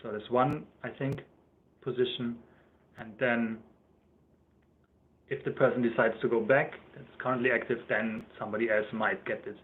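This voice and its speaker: A man's voice: mid-range voice, steady tone, moderate pace, pauses often, slight background noise. A young man with a German accent chatting casually on a livestream, rambling and correcting himself as he answers viewers' questions. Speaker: YouTuber. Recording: thin phone-like livestream audio.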